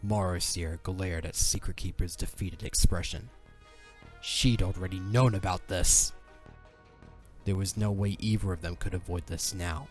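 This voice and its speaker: Low-voiced male storyteller over soft music. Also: fairly even intonation, a little slow. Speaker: narrator. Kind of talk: dramatic scripted fantasy narration.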